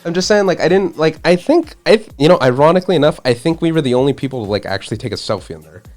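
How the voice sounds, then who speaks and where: A man's voice: fairly high pitch, animated, very fast, a little mellow, soft background music. A man co-hosting a live-streamed talk show, chatting casually, swearing a lot and filling his talk with "like". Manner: swears often, heavy use of "like". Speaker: podcast co-host. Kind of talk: casual chat.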